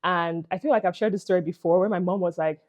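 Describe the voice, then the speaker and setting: A woman's voice: on the low side, steady tone, fast, a little mellow, lo-fi sound. A young woman with a Nigerian English accent, telling a personal story to camera in a casual, chatty way full of "like" and "you know". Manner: chatty, frequent "like" and "you know", laughs between phrases. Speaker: vlogger.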